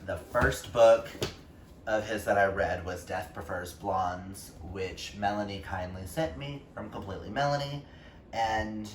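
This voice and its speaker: A man chatting casually about books as a vlogger, gushing and emphatic with dramatic pauses. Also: on the low side. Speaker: book reviewer vlogger, reading challenge co-host. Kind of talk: casual book vlog chat. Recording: roomy, distant mic, faint noise.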